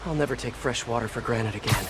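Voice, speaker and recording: A man's voice: medium pitch, quick pace, heavy background noise, natural voice. An actor playing a young, good-hearted American superhero in a video game, earnest and quippy as he talks. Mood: curious, quippy. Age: young adult. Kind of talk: video game voice acting, talking to himself. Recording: loud music behind the voice. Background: game music.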